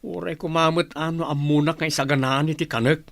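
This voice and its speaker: A man's voice: a little high, fairly even intonation, fairly quick, gravelly, dry recording. A man acting a weary working husband and father with a Filipino accent in a radio drama, plaintive and long-suffering. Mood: reproachful.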